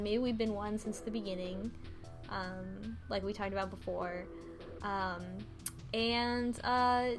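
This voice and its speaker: A woman co-hosting a podcast, casually recapping a game and voicing characters' lines in passing. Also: on the high side. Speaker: podcast co-host. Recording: music playing behind the talk.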